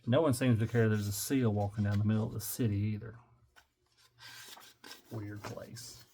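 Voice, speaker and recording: A man's voice: on the low side, fairly even intonation, normal speed, warm tone, slight background noise. An older man reading a children's picture book aloud in a playful, theatrical way, stretching words and slipping in wry muttered asides. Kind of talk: animated children's read-aloud with joking asides.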